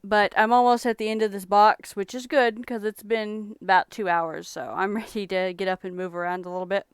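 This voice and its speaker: A young woman from Texas chatting casually on a live stream about thrift finds she resells, in a relaxed, rambling way. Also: medium pitch, brisk, smooth, no echo, studio quality.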